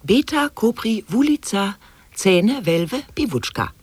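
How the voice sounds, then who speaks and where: A woman's voice: mid-range voice, sing-song, takes it slowly, a little mellow, slightly rough, no room sound, heavily acted. A woman acting an eccentric chanter of mock-learned nonsense in an avant-garde radio play, theatrical and exclaiming. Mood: chanting.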